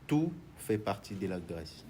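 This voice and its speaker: Hesitant man with a lowish voice. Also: sing-song, unhurried, a little mellow, slight background noise. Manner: hesitant, many restarts, mixes in Lingala. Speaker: artist manager and minister. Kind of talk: TV talk show interview.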